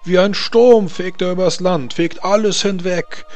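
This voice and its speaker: A man's voice: high voice, soft background music, voice pushed up. A man voicing a pompous, scornful tournament herald who declaims grandly in a tabletop game. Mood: grandiose menace. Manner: pompous, declaiming, drawn-out shouted names. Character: bombastic, scornful male tournament herald hyping champions.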